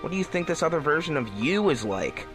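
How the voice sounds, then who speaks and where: A man acts out an anxious young male hedgehog hero for a fan fiction audio drama. The voice sits fairly high and moves expressively over clearly audible music. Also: very animated, fast talker. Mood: hesitant, worried.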